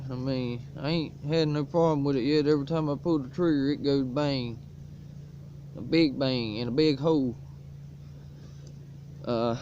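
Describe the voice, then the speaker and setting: A laid-back man talks easily about his gear in a folksy drawl. His voice is mellow and dark but sits fairly high. The sound is dull lo-fi phone audio from outdoors in the woods, with a faint hiss underneath. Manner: laid-back rural drawl, folksy, coughs now and then. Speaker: outdoors vlogger. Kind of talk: casual show-and-tell vlog. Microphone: cell phone propped on a stick.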